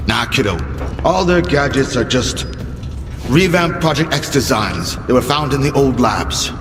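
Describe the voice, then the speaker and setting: An animated, wry man in echo. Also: mid-range voice, takes it slowly, background noise. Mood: wry, friendly. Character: chatty, wry man who idealizes a hidden shining zone. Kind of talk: video game cutscene acting. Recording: roomy long reverb, loud music behind.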